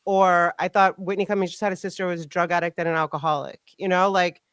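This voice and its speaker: A woman's voice: fairly low pitch, fairly even intonation, fast, fairly bright tone, dry recording, studio quality. A woman talking candidly in a casual conversation, full of "like" fillers. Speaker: podcast host.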